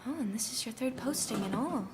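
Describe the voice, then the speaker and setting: A woman playing a chatty, excitable, nosy pregnant handmaid in an audio drama. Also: a little high, brisk, natural voice. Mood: excited, nosy. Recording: distinct scene ambience noise.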